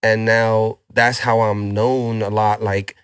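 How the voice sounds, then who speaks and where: Laid-back rapper from LA. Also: male voice, on the low side, level tone, takes it slowly, fairly bright tone, clean tone, no room sound, clean studio sound. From Los Angeles, Boyle Heights. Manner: laid-back street slang, many restarts. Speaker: rapper guest. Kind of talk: casual interview chat.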